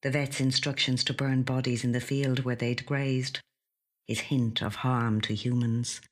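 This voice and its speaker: An actor with a slightly husky, breathy voice reading a literary short story in a measured, hushed way. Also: medium pitch, little pitch movement. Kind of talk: scripted literary narration.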